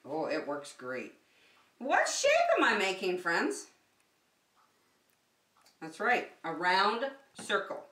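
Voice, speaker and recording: Casting: middle-aged female preschool host, highly expressive pitch, simple encouraging delivery, recorded away from the mic in an echoey room. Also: slow pace, long pauses. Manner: animated, sing-song, encouraging, simple phrasing for small children. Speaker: preschool circle time teacher and host. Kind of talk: children's lesson with craft demonstration. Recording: echoey room, distant microphone.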